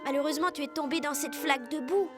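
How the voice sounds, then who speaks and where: A woman's voice: sits high, fast talker, music in the background, lightly acted. A woman voicing a kind, sensible young female rabbit in a cartoon, earnest and decisive. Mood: cautious, decisive. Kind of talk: French cartoon character acting.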